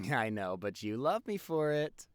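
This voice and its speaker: A man's voice: medium pitch, unhurried, dry recording. A young man playing a playful, affectionate boyfriend who rambles chattily and teasingly in an intimate audio roleplay. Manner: teasing, animated, chatty, expressive.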